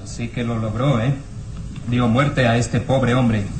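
A man's voice: medium pitch, steady tone, measured pace, a little mellow, faint music behind, natural voice, low-quality recording. Man acting a stern, commanding police inspector. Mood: accusing. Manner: barking orders, accusatory. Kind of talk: old radio serial acting.

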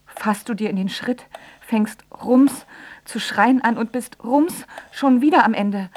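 A woman's voice: fairly high pitch, slow, dry recording. An actress playing a bitter, ironic grown daughter who tells of her father in a dramatic radio play monologue. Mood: intense, ironic. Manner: sardonic, dramatic monologue with sudden exclamations. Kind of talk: radio play narration and dialogue.

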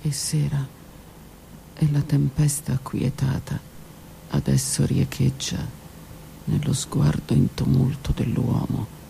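Gravelly woman performing an intense monologue. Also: very deep voice, steady tone, takes it slowly, pauses often, slight background noise, low-quality recording. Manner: intense, dramatic delivery swinging between loud outbursts and whispers. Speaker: actress performing a one-woman stage monologue. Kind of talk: theatrical monologue broadcast on radio.

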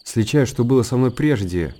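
A man's voice: mid-range voice, expressive, normal speed, mellow, close to an everyday voice. A man playing a contemplative hermit monk who lives in seclusion, delivering a literary radio drama monologue. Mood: wistful. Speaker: narrator in a radio play.

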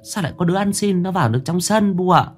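A man voicing an anxious young woman, the mistress's daughter, talking quickly and fearfully. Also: high-pitched, lively intonation, soft background music, voice pushed up. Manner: quick, frightened.

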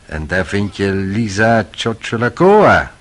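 A man's voice: fairly low pitch, very animated, slow, heavily acted. An actor playing a cynical, worldly young man in a radio drama, sly and needling in manner. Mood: mocking, insinuating. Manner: sardonic, insinuating.

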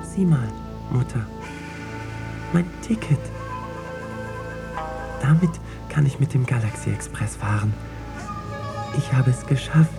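A low-voiced, fierce young boy. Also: lively intonation, slow pace, creaky, long pauses, over music, full character voice. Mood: proud, tender. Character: young orphan boy, fierce, vengeful, attached to his mother. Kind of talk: German radio drama acting.

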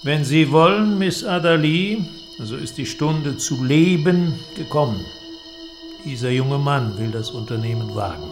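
A man's voice: on the high side, animated, unhurried, over music, voice pushed up. A man playing a confident, mysterious inventor, solemn and emphatic, in dramatic audiobook dialogue. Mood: solemn, commanding.

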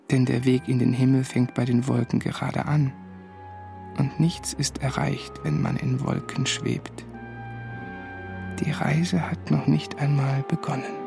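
A man playing a solemn, troubled man of faith in a literary radio drama, speaking in a hushed, brooding way with a hoarse, breathy voice. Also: mid-range voice. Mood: pensive.

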